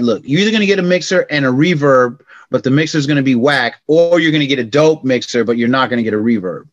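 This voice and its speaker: A middle-aged man from California telling stories in a casual interview, slangy and animated, acting out quotes in a raised voice. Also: a little high, dry recording, muffled recording. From California, United States. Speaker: podcast guest.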